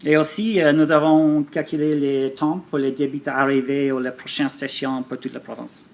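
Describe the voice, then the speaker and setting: A native English-speaking man giving a conference presentation haltingly in a second language, with frequent hesitations. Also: mid-range voice, flat delivery. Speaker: government researcher presenting a poster pitch. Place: live forum presentation.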